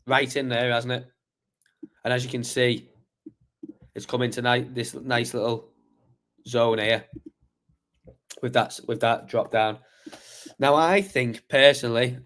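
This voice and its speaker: Male streamer, croaky voice, mid-pitched, rambling casual chart talk. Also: a bit bright. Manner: chatty, rambling, many fillers and restarts. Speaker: crypto livestream host. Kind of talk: casual live chart analysis.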